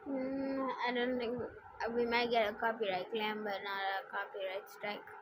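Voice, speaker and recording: A woman's voice: on the high side, flat delivery, normal speed, noisy background. A woman chatting casually on a game livestream in a blunt, opinionated, slangy way. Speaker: streamer playing a game. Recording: music playing behind the voice. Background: game music.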